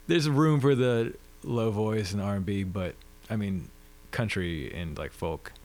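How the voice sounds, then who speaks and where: A man with a low-register voice, a musician guest chatting easily and thoughtfully in an interview. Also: unhurried. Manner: relaxed, thoughtful, frequent "like" fillers. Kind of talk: casual interview chat.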